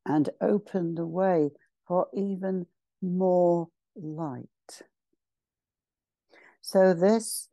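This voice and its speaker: A woman leading a guided meditation as a channeled spiritual address, solemn and ceremonial, speaking in short measured phrases with pauses. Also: fairly low pitch, mellow, dry recording.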